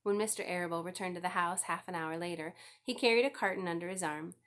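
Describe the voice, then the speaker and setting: A woman's voice: lowish voice, fast, a bit bright. A woman narrating a children's book aloud as a scripted story reading. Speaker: audiobook reader.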